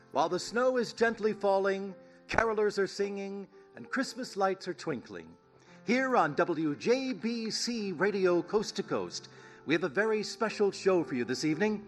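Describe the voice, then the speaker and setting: An actor playing a chipper, folksy 1930s radio announcer with a polished, salesmanlike delivery. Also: male voice, high voice. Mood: welcoming.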